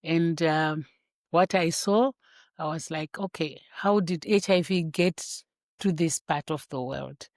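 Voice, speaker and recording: A woman from Zimbabwe, a grandmother and physician, telling her story in an interview, with thoughtful pauses and repeated words. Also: low-pitched, no echo, clean studio sound. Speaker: guest, infectious disease physician.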